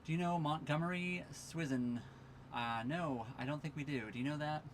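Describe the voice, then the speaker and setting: A man's voice: fairly high pitch, normal speed, slight background noise. A tired young man casually chatting on a livestream from his sailboat at a marina, reading viewer questions aloud and thanking followers. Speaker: livestream host. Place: sailboat cabin at a marina dock.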